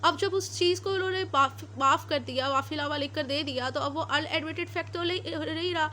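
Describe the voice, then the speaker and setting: High-pitched Pakistani woman giving a lecture. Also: a little fast, bright, forceful tone, slight background noise. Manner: informal, repetitive explaining, switching into English legal terms. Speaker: law lecturer. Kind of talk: explanatory lecture with everyday examples.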